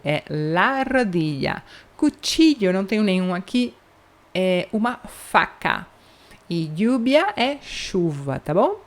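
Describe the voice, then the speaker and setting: Brazilian woman, young, casual online teacher, keeps checking in, drawls out example words. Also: medium pitch. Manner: chatty, checks in with "tá bom?" after points; slows down to spell out example words. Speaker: Spanish teacher. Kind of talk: online language lesson.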